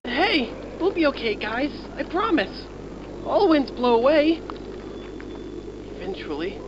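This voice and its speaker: An actress voicing a kind, reassuring cartoon toy dog in an earnest, comforting way. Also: female voice, high voice, big pitch swings, takes it slowly, music in the background, exaggerated voice. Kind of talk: retro cartoon acting. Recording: dull lo-fi old cartoon soundtrack.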